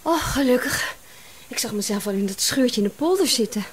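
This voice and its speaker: Actress as exasperated detective, high animated voice. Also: female voice, moderate pace, lightly acted. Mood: indignant pleading. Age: 30s. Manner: indignant, pleading. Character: exasperated female detective of about thirty, held captive. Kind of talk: radio drama acting.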